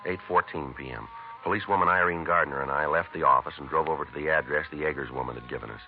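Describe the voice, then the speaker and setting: An actor playing a terse police detective sergeant who narrates a 1950s radio crime drama in a flat, clipped, deadpan way. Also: male voice, very deep voice, fast talker. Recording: lo-fi vintage radio broadcast with noise.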